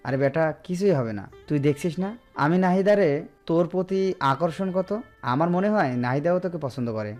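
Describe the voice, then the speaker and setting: A man plays an insistent, encouraging college friend in an audio drama. His voice sits somewhat high for a man. Light guitar music runs underneath. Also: lively intonation, normal speed, darkish tone, close to an everyday voice. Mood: urging. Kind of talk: audio story dialogue. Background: background music with guitar.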